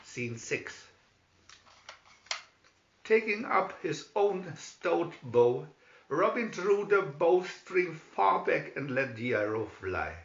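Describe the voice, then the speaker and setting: A man narrates a storybook dramatically, taking his time. His fairly high voice rises and falls widely, and the recording is dull and echoey. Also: big pitch swings, takes it slowly, creaky, fairly bright tone. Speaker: storybook reader. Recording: dull, roomy home recording.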